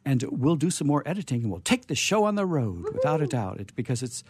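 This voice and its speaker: A man's voice: medium pitch, very animated, quick pace, husky, no echo. A man hosting a radio theatre show in a casual, playful, rambling way. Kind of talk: casual, chatty live hosting.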